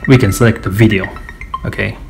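Man, product demonstrator, lowish voice, halting delivery, roomy echo, informal. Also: steady tone, gravelly, over music. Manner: hesitant, halting delivery with frequent pauses. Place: small indoor office or meeting room. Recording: echoey room, faint background noise.

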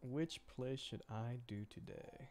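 A man with a medium-pitched voice streams a video game in a casual, conversational way. He mutters and thinks out loud to himself. There is some noticeable noise behind his voice. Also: takes it slowly. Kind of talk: casual livestream gameplay chat.

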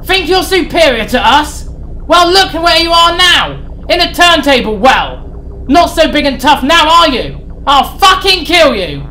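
A woman's voice: a little high, animated, unhurried, fairly bright tone, slight background noise, poor audio. A woman voicing a furious, vengeful male engine who screams threats. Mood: enraged.

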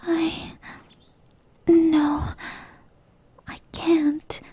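A woman voicing a shy, innocent young tree dryad in a hesitant, breathy ASMR roleplay whisper. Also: extremely high pitch, level tone, dark tone, no echo, heavily acted. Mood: timid, puzzled. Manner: hesitant, halting, pleading.

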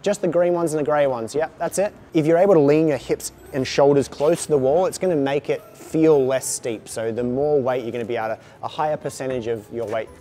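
A man's voice: medium pitch, brisk, hardly any pauses, dark tone, slight background noise. A man in his thirties coaching a beginner climbing class in a large indoor gym, explaining and encouraging.

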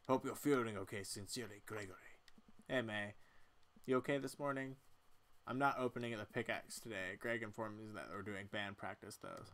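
A man reading video game dialogue aloud in a casual, expressive way. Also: on the low side. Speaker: game player voicing characters.

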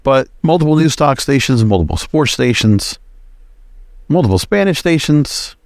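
Male podcast host, medium pitch, casual solo commentary, opinionated, rambling, dry close sound. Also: big pitch swings, normal speed, a little mellow, no echo.

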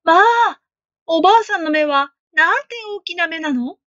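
A woman's voice: extremely high pitch, normal speed, no echo, lo-fi sound, voice pushed up. Woman doing a chirpy little red-hooded girl. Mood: wondering. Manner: bright, innocent, sing-song questions. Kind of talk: storybook read-aloud.

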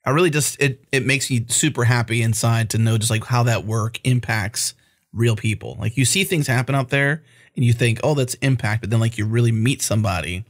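A man's voice: mid-range voice, a little fast. A man co-hosting a podcast interview, talking in an earnest, enthusiastic way. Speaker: podcast co-host. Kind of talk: casual interview chat.

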